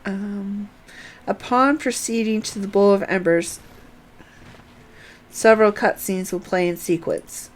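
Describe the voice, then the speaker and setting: Female game streamer, mid-pitched, unhurried, reading quest text aloud with casual asides. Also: fairly even intonation, low-quality recording.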